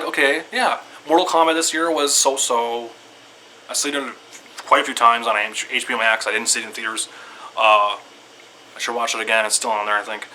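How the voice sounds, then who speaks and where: Bright-voiced man rambling to viewers. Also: mid-range voice, a little hiss or hum, low-quality recording. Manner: excitable fan talk, repetitive, loosely structured. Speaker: video creator giving opinions. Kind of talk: casual rambling commentary to viewers.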